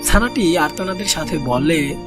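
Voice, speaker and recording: A man narrating a children's cartoon story in a gentle storybook manner, speaking to young listeners as friends. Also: fairly high pitch, expressive, moderate pace. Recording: voice-over with loud background music.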